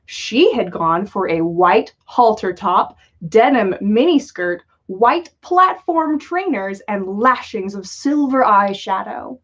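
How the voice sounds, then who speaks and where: A woman's voice: medium pitch, lively intonation, slow, few pauses. A woman reading a story aloud as a livestream host, with lo-fi sound. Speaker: podcast host reading fan fiction aloud. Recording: dull lo-fi livestream audio.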